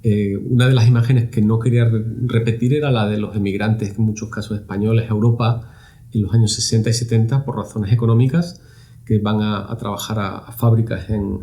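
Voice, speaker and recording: Man, middle-aged, from Spain, gravelly low voice, dark tone, thoughtful, repeats words mid-sentence. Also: flat delivery, a little fast. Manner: thoughtful, repeats words while searching for phrasing. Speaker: university lab director, guest. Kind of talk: reflective interview answers.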